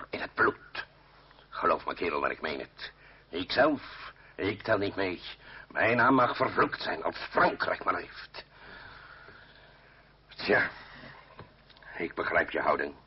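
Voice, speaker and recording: An actor playing a blustering, hot-tempered revolutionary orator who curses and speaks bluntly in a Dutch radio play. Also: male voice, low voice, lively intonation, unhurried, long pauses, slight background noise. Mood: grave, earnest. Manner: bellowing, cursing, blunt. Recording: muffled old radio play recording.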